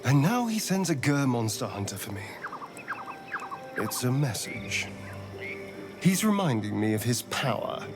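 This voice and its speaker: An actor playing a sardonic, haunted vampire spawn in a video game, with an arch, dramatic, drawling delivery. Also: male voice, mid-range voice, very animated, a little slow, pauses often. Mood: bitter, uneasy. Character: flamboyant, sardonic male vampire spawn, haunted by his master. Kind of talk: theatrical video game voice acting. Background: background music.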